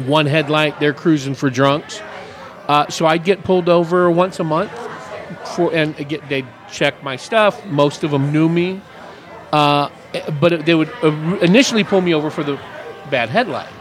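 A man's voice: fairly high pitch, moderate pace. Joking man in his fifties hosting. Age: 50s. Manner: jokey, rambling storyteller. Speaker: podcast host. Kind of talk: casual chat. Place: café.

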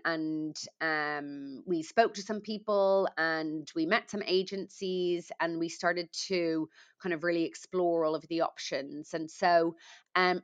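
A middle-aged woman with an Irish accent, a podcast guest explaining things carefully in a conversational interview, with frequent ums. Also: fairly low pitch. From Ireland.

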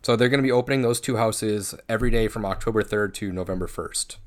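A man's voice: on the low side, fast. A man co-hosting a fan podcast, chatting informally in a casual, self-deprecating way. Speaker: co-host of a horror attraction fan podcast. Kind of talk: casual chat.

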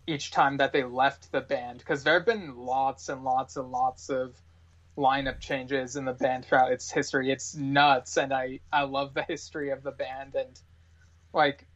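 Guy guesting on a podcast, mid pitch, earnest fan talk, muffled lo-fi sound. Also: a bit bright. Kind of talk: casual podcast chat.

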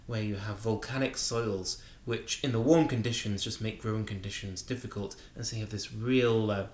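Low-voiced man in an echoey room. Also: steady tone, darkish tone. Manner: measured, explanatory, enthusiastic about wine. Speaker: wine show co-host. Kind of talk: conversational wine news discussion.

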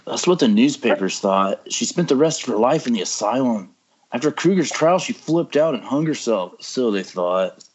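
A man's voice: mid-range voice, animated, normal speed, slight background noise, natural voice. A man reading the part of a flippant young artist in an amateur horror screenplay table read, casual and sardonic. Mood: matter-of-fact. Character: Mark, flippant young comic-artist guy in horror story. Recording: dull, lo-fi internet call.

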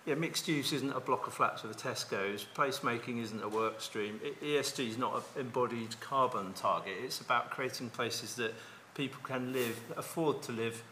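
A man's voice: medium pitch, normal speed, hardly any pauses, pushed and bright, slight background noise. A man on a conference panel speaks bluntly and with self-deprecating humour, stumbling over restarts. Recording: echoey conference hall.

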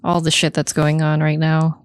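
Low-voiced woman chatting on a podcast, dry sound. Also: monotone, moderate pace, clean tone, dry recording. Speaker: podcast co-host. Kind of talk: casual chat.

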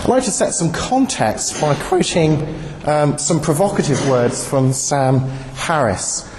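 A man giving an academic lecture to students, with a slightly croaky voice and a wry, animated manner. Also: fairly high pitch, a little slow, crowd noise. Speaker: guest speaker, philosopher. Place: lecture hall. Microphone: handheld microphone. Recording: long hall reverb, faint noise.

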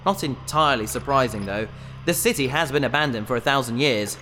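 Casting: actor as pedantic, courteous male scientist-adventurer for a sci-fi radio play, animated delivery, echoing cave sound. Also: mid-range voice, fairly quick, soft background music. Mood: cautious, polite. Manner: hesitant, polite, pedantic. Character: eager, bookish male researcher explorer, polite and mild-mannered. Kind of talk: sci-fi audio drama acting. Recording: long cave reverb, faint noise and ambience.

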